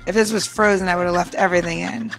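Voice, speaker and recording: A woman with noticeable vocal fry talks through a cocktail recipe in a casual podcast style. She is chatty and informal, poking fun at herself, with music faintly behind her. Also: lowish voice, steady tone, quick pace, fairly bright tone. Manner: informal, chatty, self-deprecating. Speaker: podcast co-host and bartender.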